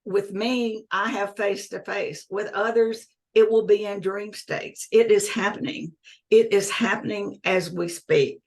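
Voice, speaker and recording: A woman's voice: mid-range voice, steady tone, measured pace. A woman in her sixties, a guest in an interview, speaking earnestly and emphatically and repeating key phrases. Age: 60s. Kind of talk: conversational interview.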